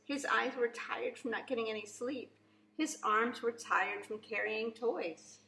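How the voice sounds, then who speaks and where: Woman, preschool read-aloud, bright voice, theatrical emphasis, echoey room, faint background noise. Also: on the high side, steady tone, measured pace. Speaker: preschool teacher reading aloud. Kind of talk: expressive children's storybook read-aloud.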